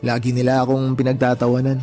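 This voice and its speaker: A man's voice: mid-range voice, completely flat delivery, quick pace, warm tone, faint music behind, close to an everyday voice. A man playing a shy, humble and deferential young man in a radio drama. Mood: resigned, candid. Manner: polite and deferential. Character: humble, shy, self-effacing young layout artist born one-handed.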